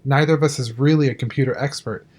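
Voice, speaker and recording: Man acting as a cautious mid-pitched technician. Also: fast talker, darkish tone, slightly rough, lightly acted. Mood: worried. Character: cautious, worried control-room technician. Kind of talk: audio drama acting.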